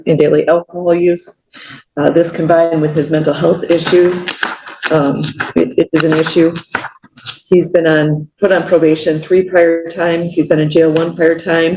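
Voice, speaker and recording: Male prosecutor, flat intonation, mellow creaky voice, factual formal delivery, muffled courtroom. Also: on the high side. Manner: matter-of-fact, factual. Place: echoey indoor courtroom. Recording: muffled, echoey, noisy room.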